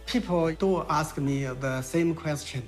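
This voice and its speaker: A man in his sixties from China with a Mandarin Chinese accent, answering interview questions in a halting, reflective way with many pauses. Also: on the high side, soft background music. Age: 60s.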